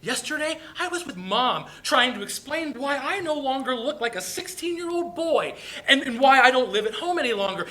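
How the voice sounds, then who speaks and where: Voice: high voice, sharp tone. A performer playing a hurt, blunt, protective young brother in a dramatic play reading.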